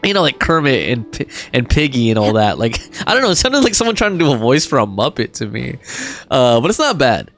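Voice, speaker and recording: Animated game streamer with swooping pitch. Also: fairly quick, soft background music. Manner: informal, reactive, chuckling. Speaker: game livestreamer. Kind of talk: casual chat, reading out game dialogue and viewer comments.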